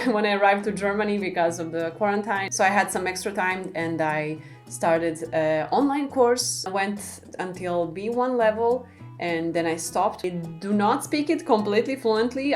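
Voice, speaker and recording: A young woman from Serbia, a native Serbian speaker, answering viewer questions in English in a relaxed, friendly way, with frequent ums and light laughs. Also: fairly low pitch. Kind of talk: casual Q&A vlog.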